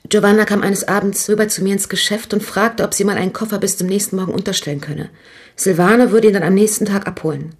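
A woman's voice: mid-range voice, steady tone, fast talker, husky. An actress playing a nervous, talkative woman shop owner in a radio crime drama, speaking hesitantly. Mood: hesitant, confiding. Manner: hesitant, gossipy, restarting sentences.

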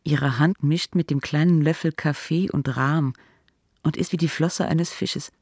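A cold, disdainful woman in a literary radio monologue. She speaks slowly, in a husky voice full of creak, recorded very dry. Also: deep voice, steady tone, darkish tone, no room sound, full character voice.

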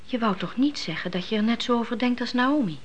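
Actress as a thoughtful, probing young wife. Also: female voice, fairly high pitch, expressive, quick pace, muffled recording, a little hiss or hum, close to an everyday voice. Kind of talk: radio drama acting.

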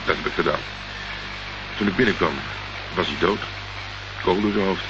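A low-pitched, sardonic private investigator acted by a man in a radio play. He answers in a deadpan, unruffled way. The old recording is dull, with clear hiss and noise behind him. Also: normal speed, lightly acted. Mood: matter-of-fact. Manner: sarcastic, deadpan. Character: dry, sardonic male private investigator, unruffled under questioning. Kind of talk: Dutch radio play acting.

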